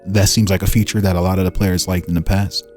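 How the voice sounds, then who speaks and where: A man's voice: sits low, level tone, fast, a little mellow. A man hosting in a casual style, reading game lore aloud and breaking off into musing, informal asides. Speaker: host presenting fan-made game lore. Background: music.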